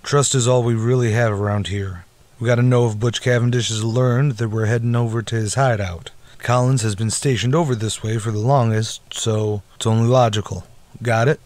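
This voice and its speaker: An actor playing a steady, earnest Texas Ranger captain and protective older brother in a radio western, speaking with firm authority. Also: male voice, medium pitch, moderate pace, no echo, close to an everyday voice. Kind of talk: old-time radio western acting.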